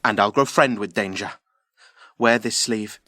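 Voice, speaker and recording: A man's voice: fairly low pitch, a little slow, sharp tone, no echo, clean studio sound. A man playing an ardent, jealous young Trojan prince in love, delivering classical verse earnestly in an audio drama. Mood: earnest devotion. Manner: earnest, declamatory.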